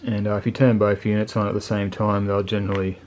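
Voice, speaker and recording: A man's voice: on the low side, level tone, quick pace, a little mellow. A man giving a casual, unscripted product review in a laid-back, colloquial, plain-spoken way. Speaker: motorcycle video channel host reviewing gear.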